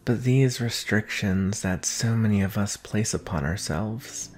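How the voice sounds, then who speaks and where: A young man playing an anxious, protective vampire boyfriend in an intimate roleplay audio drama, speaking in an earnest, halting way with sighs. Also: on the low side, darkish tone, soft background music, close to an everyday voice. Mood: somber, confiding. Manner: halting, sighing, earnest delivery.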